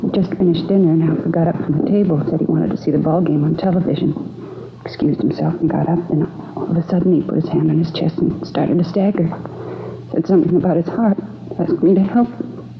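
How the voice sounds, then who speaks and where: An American actress playing a shaken, polite, newly widowed housewife in a 1950s radio drama, speaking in a halting, subdued way. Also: female voice, low voice, steady tone, gravelly. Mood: shaken, grieving. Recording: narrow, tinny old radio recording, faint noise.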